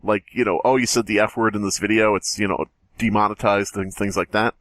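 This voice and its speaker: A man's voice: fairly low pitch, little pitch movement, brisk, fairly bright tone, no echo. A man hosting a podcast, telling a story in casual, profane chat. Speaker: podcast host.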